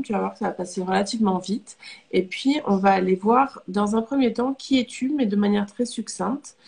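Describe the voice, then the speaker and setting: A woman hosting a live interview, nasal and hoarse from a cold, welcoming her guest with many fillers. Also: mid-range voice, a little mellow. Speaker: host, life coach.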